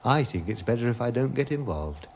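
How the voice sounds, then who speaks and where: An actor playing a vague, gentle hermit who doubts all reality, in a radio comedy drama. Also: male voice, mid-range voice, big pitch swings, a little fast, gravelly, a little hiss or hum. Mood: musing. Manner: musing, detached, hesitant, questioning everything.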